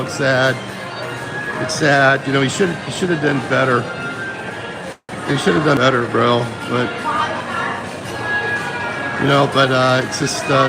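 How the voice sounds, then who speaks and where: A man's voice: medium pitch, rough recording. A man live-streaming from a phone while walking a busy mall, talking rambling and loose, repeating himself and saying "bro" constantly, sick and losing his voice. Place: outdoors and in a busy shopping mall. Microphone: phone held while walking.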